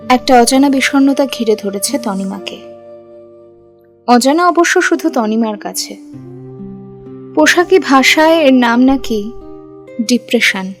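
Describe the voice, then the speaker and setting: A woman reading a scripted audio story as its narrator. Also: sits high. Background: background music.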